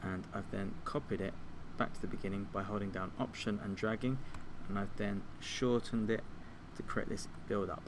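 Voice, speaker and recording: A man giving an informal software tutorial, explaining things step by step like a teacher. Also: on the low side, steady tone, moderate pace, few pauses, heavy background noise. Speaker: music technology teacher.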